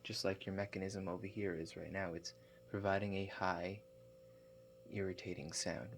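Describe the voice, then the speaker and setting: A man doing a softly spoken ASMR roleplay as a calm relaxation agent, hushed and halting, with gentle check-in questions. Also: deep voice, slight background noise. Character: calm, awkward house-call relaxation agent. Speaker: ASMR performer.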